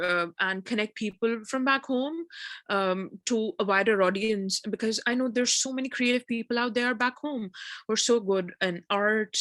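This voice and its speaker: A woman's voice: medium pitch, a bit bright, no room sound. A woman with a Pakistani accent speaks in a medium register with a dry sound. Her delivery is informal and hesitant, rambling a little as she shares a comment with the group. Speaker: PhD student and game developer, event participant.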